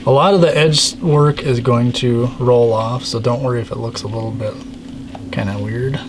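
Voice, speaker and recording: A man's voice: medium pitch, steady tone, normal speed. A man talking casually while working, guiding a helper through a craft with exclamatory, encouraging remarks. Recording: noisy room, clear background noise.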